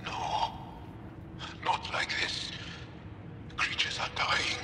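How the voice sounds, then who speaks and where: An actor playing a grave alien male warrior companion who speaks in a slow, halting, troubled way. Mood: torn. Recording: hollow reverberant game audio with hiss.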